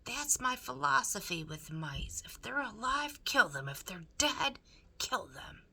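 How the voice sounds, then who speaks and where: A woman's voice: fairly high pitch, sing-song, unhurried, vocal fry, bright, forceful tone, breathy edge, voice pushed up, heavily acted. A woman voicing a bossy, ruthless mouse-hating kitchen cook in a storybook, barking out orders. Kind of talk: animated children's storybook character voice.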